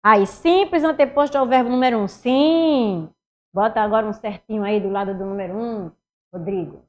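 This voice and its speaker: A middle-aged woman with a Northeastern Brazilian accent, teaching an animated online exam-prep class and talking students through each step. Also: medium pitch, normal speed. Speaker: Portuguese teacher. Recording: somewhat dull lo-fi sound.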